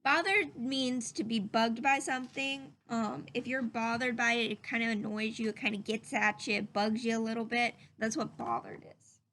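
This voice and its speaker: A woman reading a children's storybook aloud in an expressive way and explaining words simply. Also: a little high, normal speed, hardly any pauses, slight background noise. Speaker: read-aloud host.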